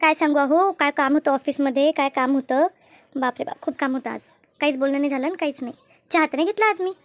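A woman's voice: sits high, fast, no echo, natural voice. A woman voicing an anxious, deferential young working daughter-in-law in a cartoon family drama, speaking colloquially. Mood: hurried, flustered.

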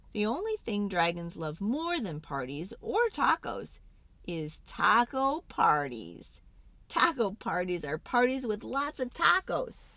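A woman performs a children's picture book aloud with theatrical flair. Her medium-pitched voice swings widely up and down, and she takes her time, drawing words out for emphasis. The sound is close and dry. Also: creaky, a bit bright, no echo. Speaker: children's storybook read-aloud host. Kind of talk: dramatic, animated storybook reading for children.

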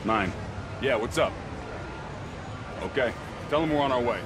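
An actor playing a brisk, dutiful American mob henchman in a video game, talking in casual street slang. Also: mid-range voice, expressive, background noise, natural voice. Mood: brisk, matter-of-fact. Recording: loud game music and street sound.

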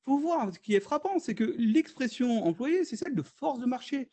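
French guy on a video call, high voice, lots of euh and restarts, explaining stuff. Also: very animated, moderate pace, mellow. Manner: hesitant, many fillers and restarts. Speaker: economist guest speaker. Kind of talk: webinar presentation.

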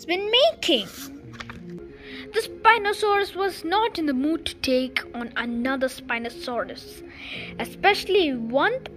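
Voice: high voice, bright, forceful tone. A young child reading a children's storybook aloud expressively, stumbling and repeating words now and then. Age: child, under 12.

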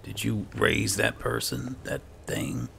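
A man giving hushed, casual commentary as he role-plays through a video game, often dropping close to a whisper. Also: lowish voice, steady tone, slow, gravelly, a little hiss or hum. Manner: murmuring, often near-whispered. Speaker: gaming video host, role-player. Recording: game music behind the voice. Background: video game music.

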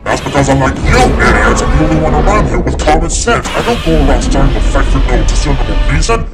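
A man's voice: medium pitch, lively intonation, fast talker, close to an everyday voice. A man voicing a bossy, exasperated giant monster king in a cartoon comic dub, with a sarcastic manner. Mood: annoyed, proud. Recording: loud music over the voice.

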